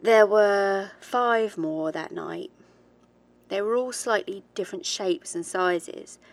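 A woman's voice: mid-range voice, slow pace, no echo. A woman playing a haunted, determined researcher who gives a reflective, hesitant confession in a horror audio drama diary. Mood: uneasy, reflective. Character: haunted, determined woman researcher, British-born, recalling childhood ghosts.

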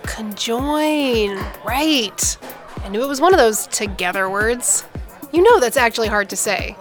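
An actress playing a down-to-earth scientist and teasing older sister in a modern audio drama, playful and probing in conversation. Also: female voice, a little high, lively intonation, a little slow, faint music behind.

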